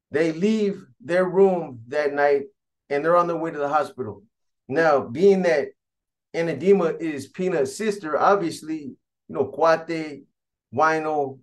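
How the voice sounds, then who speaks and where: Streetwise middle-aged man telling a crime story. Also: on the high side. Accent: Californian. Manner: streetwise, conversational, slangy, addresses viewers directly. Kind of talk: casual true crime storytelling. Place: small home room with decorated shelves.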